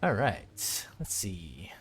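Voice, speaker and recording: A man's voice: sits low, big pitch swings, very slow, faint music behind. A man streaming a game with casual solo commentary, often muttering to himself and casually swearing.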